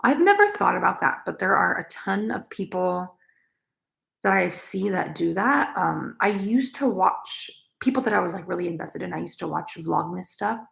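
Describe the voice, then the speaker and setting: Young female crochet streamer, low raspy gravelly voice, loose chatty delivery full of fillers. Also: expressive, normal speed, fairly bright tone. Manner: rambling, many fillers. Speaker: crochet vlogger. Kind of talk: casual livestream chat, reading comments.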